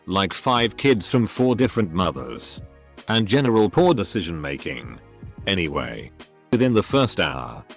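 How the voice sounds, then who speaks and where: A man reading out online stories as a scripted narration. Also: fairly low pitch.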